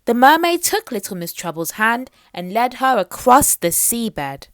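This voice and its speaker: A woman reading a children's picture book aloud as an expressive storyteller. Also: a little high, measured pace.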